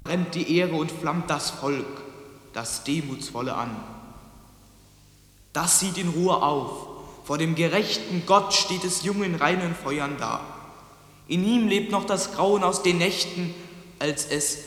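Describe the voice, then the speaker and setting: In a long, echoing reverb, a solemn reciter of patriotic verse. His voice is fairly high and slow, exalted and declamatory, in radio drama style. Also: a bit bright. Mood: solemn, fervent.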